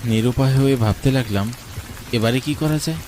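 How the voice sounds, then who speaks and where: A man's voice: mid-range voice, normal speed, a little mellow. A man reading a scripted first-person story as its narrator. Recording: clearly noisy, rain and thunder behind. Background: rain and thunder sound effects.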